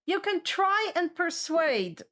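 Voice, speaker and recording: Female game master, high register, unhurried delivery, narrating and refereeing a tabletop fantasy game. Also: big pitch swings, a bit bright.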